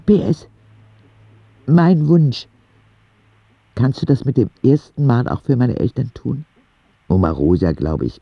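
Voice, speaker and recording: A man's voice: mid-range voice, gravelly, slightly rough, muffled recording, full character voice. A man playing a dying ten-year-old boy in a radio drama, reading candid, wondering letters to God in an intimate, reflective way. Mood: tender, hopeful. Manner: slow, reflective, with expressive swings.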